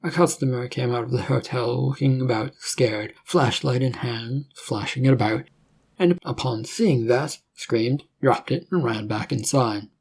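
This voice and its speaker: A man's voice: medium pitch, a little slow, darkish tone, breathy edge. A man reading scripted fiction as a narrator, telling the story in a dramatic way.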